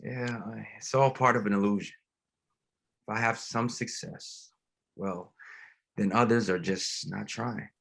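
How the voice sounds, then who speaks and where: An actor playing a gentle, grieving pastor in a dramatic table read, speaking plainly and haltingly. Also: male voice, on the low side, fairly even intonation, gravelly, full character voice. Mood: earnest, compassionate. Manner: reflective, plain-spoken, halting. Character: grieving, gentle, reflective male pastor, widowed father.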